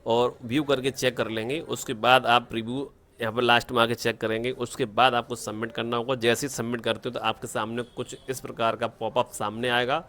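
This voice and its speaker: A man's voice: medium pitch, a bit bright. A man narrates a step-by-step screen tutorial in an instructional way, mixing English terms into his Hindi and often checking in with an okay. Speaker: online tutorial presenter.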